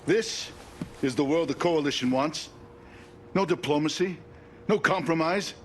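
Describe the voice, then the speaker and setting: An actor playing the President in a game cutscene, speaking in a grave, commanding way. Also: male voice, a little high, a little slow, slight background noise, natural voice.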